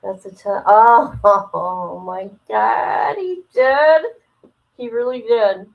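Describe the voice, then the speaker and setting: A woman's voice: mid-range voice, takes it slowly, vocal fry, muffled recording. A woman hosting a casual livestream, reading aloud and commenting in a blunt, incredulous, gossipy way. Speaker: livestream host reacting to a document.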